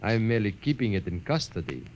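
An actor playing a suave, sinister doll-maker and blackmailer in an old-time radio drama, speaking in a silky, menacing, theatrical way. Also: male voice, fairly low pitch, very animated, fast talker, a little mellow. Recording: old radio recording with faint hiss.

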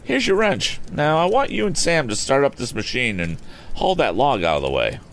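A man lifting his voice into a confident young boy inventor, cool, crisp and commanding, with lively rises and falls in his speech. Pitched well above the reader's own low voice, it sounds like a storybook hero squaring up to a bully. A faint hiss of noise sits under the reading. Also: normal speed. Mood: stern. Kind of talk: audiobook character voice.

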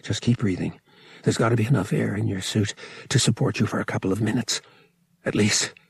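Man playing a curt, gravelly, strained space pilot. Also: on the low side, fairly even intonation, fairly quick, no room sound, exaggerated voice. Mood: strained self-coaxing. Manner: curt, commanding. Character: tough, impatient young male space pilot under strain.

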